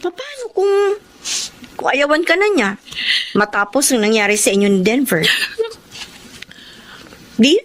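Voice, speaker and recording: A woman acting a pragmatic, meddling female friend in a Filipino radio drama, pointed and expressive. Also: high-pitched, a little slow, long pauses. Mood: coaxing, blunt.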